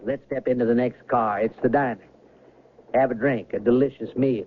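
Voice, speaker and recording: A narrow, faintly noisy vintage radio recording carries a man playing a train conductor in a radio play. He is polite, unruffled and cryptic, with a middle-pitched voice. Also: steady tone, moderate pace, close to an everyday voice. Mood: soothing, courteous. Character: calm, evasive, courteous male train conductor. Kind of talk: radio drama acting.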